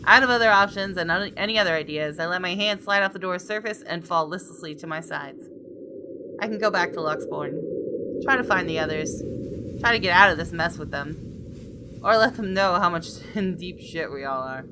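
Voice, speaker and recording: A woman's voice: lowish voice, moderate pace, background noise. A woman hosting a gaming video, reading a visual novel aloud expressively and adding casual asides.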